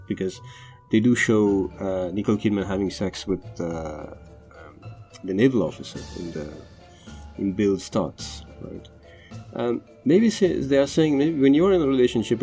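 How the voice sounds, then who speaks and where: Tamil-speaking guy talking movies on his podcast, lowish mellow voice, lots of ums and restarts, soft music under it. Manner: hesitant, many fillers and restarts. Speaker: film analysis podcast host. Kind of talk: casual unscripted movie commentary. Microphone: home recording over drawings.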